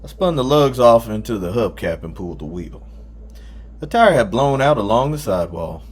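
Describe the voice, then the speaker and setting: A man's voice: mid-range voice, sing-song, moderate pace, husky. A man reading a first-person story aloud as an audiobook narrator.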